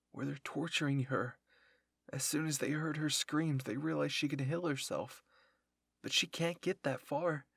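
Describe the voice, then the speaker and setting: A man's voice: fairly high pitch, creaky, fairly bright tone, husky, no room sound, studio quality, heavily acted. Man playing a goading, intense young soldier.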